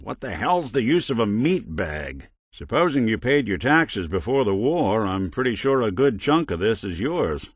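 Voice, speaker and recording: An actor playing a weary synth detective in a video game, delivering dry, sardonic quips with an old-time gumshoe cadence. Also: male voice, lowish voice, very animated, normal speed, hardly any pauses, husky, no echo. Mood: dry, wry. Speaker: companion character. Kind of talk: game voice lines.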